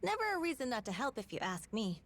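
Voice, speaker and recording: Lively, kind young woman. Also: fairly high pitch, quick pace. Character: kind, plainspoken young woman, firm with her father. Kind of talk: English video game dub acting.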